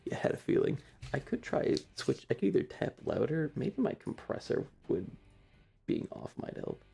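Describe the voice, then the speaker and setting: Soft, creaky-voiced ASMR streamer. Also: mid-range voice, huge pitch swings. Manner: soft-spoken, halting, talking to chat. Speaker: ASMR livestream host. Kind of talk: casual ASMR stream chat, partly whispered. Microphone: close ASMR microphone.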